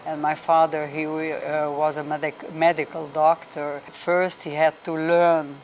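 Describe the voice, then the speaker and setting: Slow-spoken woman. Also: low-pitched, level tone, slight background noise. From Austria. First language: Hungarian. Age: 70s. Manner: slow, careful, with pauses and restarts. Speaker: interviewee. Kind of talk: casual interview. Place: hostel.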